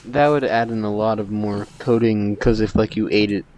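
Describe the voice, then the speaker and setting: Low-pitched man, casually argumentative. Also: fairly even intonation, normal speed, a little mellow, a little hiss or hum. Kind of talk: casual chat, raising objections.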